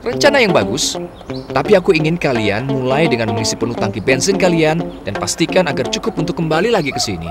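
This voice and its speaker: A cautious cartoon taxi boss, slightly high, with a voice that rises and falls very widely as he gives advice. Loud music plays underneath. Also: very animated, fast. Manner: cautious, instructive. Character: careful man who manages the taxis. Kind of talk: cartoon dubbing.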